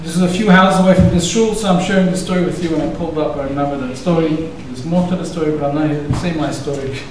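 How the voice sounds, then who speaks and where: A man's voice: a little high, quick pace, noisy background. Casual man in a very echoey hall. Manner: casual, rambling, conversational. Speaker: event organizer and host. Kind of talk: introductory speech. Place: synagogue hall. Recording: very echoey hall, distant mic.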